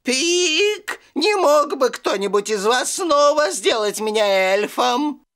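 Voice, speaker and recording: A woman's voice: sits high, animated, slow pace, heavily acted. A woman voicing a fussy, self-important elf party magician in a children's cartoon dub, theatrical and full of exclamations. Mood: flustered, squeaking. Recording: loud music behind the voice.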